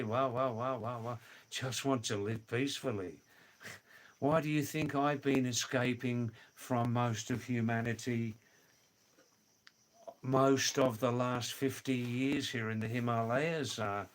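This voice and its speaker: An older man talks very slowly on his own livestream, reading viewer comments aloud. He drags out phrases and repeats words. His voice sits at a middling pitch, with chuckles now and then. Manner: slow, drawn-out, repeats words, chuckles "hoo hoo hoo". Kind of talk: casual live chat. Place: home in the Himalayas.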